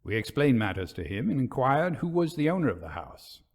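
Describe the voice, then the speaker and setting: A man reading a literary ghost story as a storyteller, in measured, dramatic, formal narration. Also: medium pitch, very animated.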